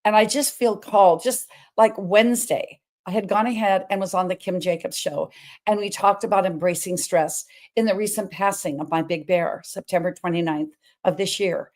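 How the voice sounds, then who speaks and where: A middle-aged woman with a big, full voice hosts her own show in an energetic, emphatic way. She talks freely and wanders from one point to another, with a pitch that sits right in the middle for a woman. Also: normal speed. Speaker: host. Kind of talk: personal talk-show monologue.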